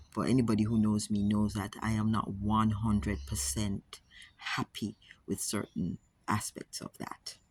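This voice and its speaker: A woman with a Jamaican accent, speaking to camera as a wellness coach in a measured, earnest way, often repeating words for emphasis. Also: a bass voice, a little mellow, slightly rough. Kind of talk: casual talk to camera.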